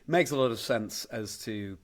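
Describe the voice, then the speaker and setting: A man hosting a conversational interview, speaking in a thoughtful, halting, affirming way. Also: mid-range voice. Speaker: podcast host, interviewer.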